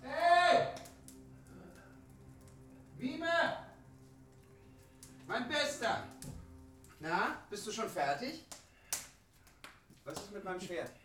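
Brash young hero, animated. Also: male voice, high voice, extremely slow, pauses often, full character voice. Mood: eager. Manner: bold, taunting, declamatory. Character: brash, fearless young male hero, cocky and impatient. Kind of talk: fantasy radio play acting. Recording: echoey, distant sound with music behind.

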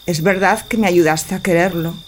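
A woman's voice: lowish voice, fairly even intonation, normal speed, a little hiss or hum, natural voice. A woman playing a passionate young wife in a theatrical Spanish radio tragicomedy, speaking in lyrical, poetic declamation. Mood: ardent, dreamy.

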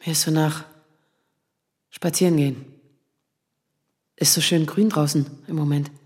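An actress playing a nervous, self-doubting lawyer in her thirties in a naturalistic radio drama, speaking in a halting, rambling way. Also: deep voice, steady tone, darkish tone. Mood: warm, rambling. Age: 30s. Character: nervous, self-doubting 35-year-old asylum lawyer, single woman.

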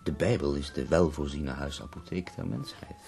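An actor playing a bedridden, dying poet who speaks in ornate, wry, theatrical phrases in a radio drama. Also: male voice, extremely low pitch, moderate pace, gravelly, a little mellow, soft background music. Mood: resigned, sardonic.

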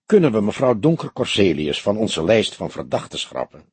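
Audiobook role: male narrator as young detective, eager, teasing, inquisitive, lively pitch, breathy husk. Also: moderate pace, dry recording, exaggerated voice. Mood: questioning. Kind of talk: audiobook character dialogue.

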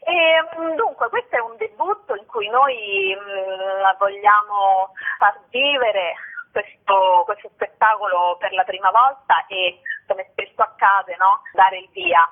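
A woman's voice: medium pitch, slow. A woman being interviewed about theatre work, answering conversationally and hesitantly as she searches for words. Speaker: theatre artist interviewed about her show. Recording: tinny, narrow-band phone line on radio.

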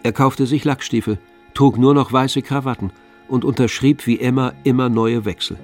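Male radio drama narrator, medium pitch, literary storytelling, light music bed. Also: steady tone, normal speed. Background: faint string music.

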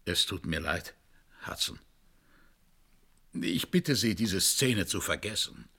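An actor playing an older gentleman father in a radio drama, husky, polite and anxiously placating. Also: low-pitched, big pitch swings, slow pace, heavily acted. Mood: pleading, placating.

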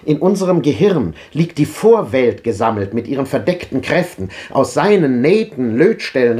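Casting: actor as a fiery old thinker in a 1950s radio play, declamatory, with a pitch that swings widely. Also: male voice, a little mellow, lightly acted. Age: older. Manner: declamatory, impassioned, rhetorical. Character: old man, fervent visionary philosopher. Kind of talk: 1950s radio drama acting.

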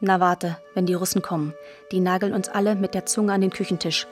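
A woman's voice: fairly low pitch, steady tone, brisk, soft background music, lightly acted. A woman voicing a stern, weary woman refugee in a radio drama, blunt and nagging. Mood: grim warning.

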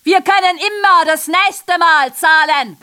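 An actress playing a female messenger in a literary radio drama monologue, recounting atrocity with biting irony in a deliberate, declamatory way. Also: very high voice. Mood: biting, ironic.

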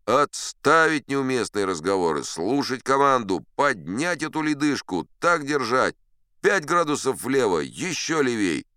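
An actor playing a commanding ship's captain, barking brisk orders at his crew. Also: medium pitch, very animated, bright, forceful tone, smooth, no room sound, clean studio sound, voice pushed up. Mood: stern command.

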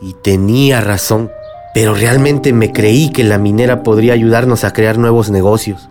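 A man's voice: on the low side, steady tone, moderate pace, music in the background, lightly acted. A man with a Mexican accent playing a middle-aged, remorseful village leader and father in a radio drama. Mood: remorseful, earnest.

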